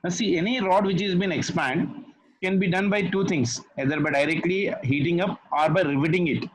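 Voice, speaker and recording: A man's voice: high voice, steady tone, a little fast, slight background noise, poor audio. Man tutoring physics over a call. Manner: patient, repeats key phrases, checks understanding with "isn't it?". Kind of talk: one-on-one tutoring session.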